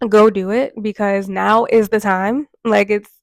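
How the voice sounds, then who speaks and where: Nasal twenty-something woman with a cold, bone tired, chatty and earnest, pretty flat delivery, dry close mic. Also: mid-range voice, unhurried, dry recording. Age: 20s. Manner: chatty, earnest, many fillers. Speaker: vlogger. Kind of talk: casual talk to camera. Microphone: microphone.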